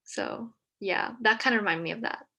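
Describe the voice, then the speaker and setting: A young woman from Texas whose first language is Vietnamese, speaking hesitantly with many pauses as a guest in a casual conversation. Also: medium pitch. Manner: hesitant, many ums, soft-spoken.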